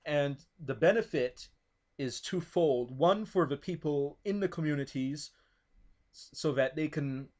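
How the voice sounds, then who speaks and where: A man's voice: a little high, measured pace, darkish tone. A man whose first language is not English, explaining his political ideas in a discussion, thoughtful, with many fillers and restarts. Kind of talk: casual discussion.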